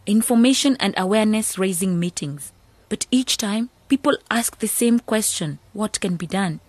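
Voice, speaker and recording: A woman's voice: medium pitch, normal speed, a little mellow, no room sound, close to an everyday voice. An actress playing a skeptical young woman who leads a village youth association, in a radio drama.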